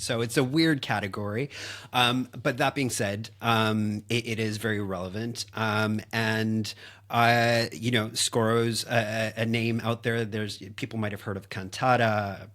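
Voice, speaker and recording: Canadian man, thoughtful and unhurried. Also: lowish voice, fairly bright tone. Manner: thoughtful, hesitant starts with ums and repeats. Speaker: podcast guest, operations consultant. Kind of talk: casual video-call chat.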